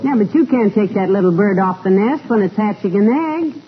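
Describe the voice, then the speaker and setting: A woman playing a patient, tender-hearted, sensible wife in old-time radio comedy, folksy and gently chiding. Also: medium pitch, animated, brisk, close to an everyday voice. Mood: alarmed, chiding. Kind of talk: 1940s-50s radio comedy acting. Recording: muffled, very noisy old radio recording.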